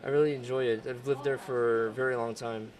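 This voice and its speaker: A man speaks quickly in a flat, level tone during a hallway interview. He is some distance from the mic, with a crowd chattering clearly around him. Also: medium pitch. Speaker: student interviewee. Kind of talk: street interview.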